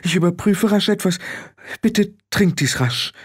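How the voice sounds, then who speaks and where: A man's voice: sits high, expressive, a little slow, husky, pitched above the performer's own voice, full character voice. A man doing a flustered fussy old witch. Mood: fussing. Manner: fussy nonstop chatter, shaky. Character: flustered older woman witch, fussy and nervous.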